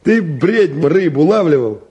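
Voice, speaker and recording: Mellow, plain-spoken young provincial man. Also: a little high, animated, measured pace, dull audio. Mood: blunt, teasing. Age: young adult. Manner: reserved, blunt, earnest. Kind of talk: stage-trained radio play acting.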